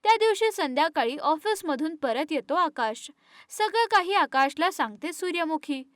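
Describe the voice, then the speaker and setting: A woman narrating an animated moral story in a scripted storytelling style. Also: high-pitched, normal speed, no room sound, clean studio sound.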